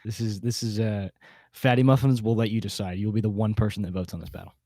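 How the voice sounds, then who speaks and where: A man's voice: lowish voice, steady tone, fast talker, warm tone, dry recording, clean studio sound. A man hosting a casual panel discussion, often repeating words as he thinks aloud. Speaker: podcast host.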